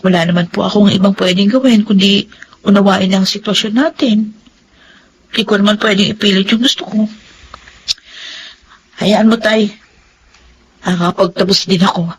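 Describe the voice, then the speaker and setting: A woman playing an upbeat, persevering young working student in a radio drama, brisk and reassuring, with a Filipino accent. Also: medium pitch, fairly even intonation, a little hiss or hum, close to an everyday voice, low-quality recording. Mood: upbeat, patient.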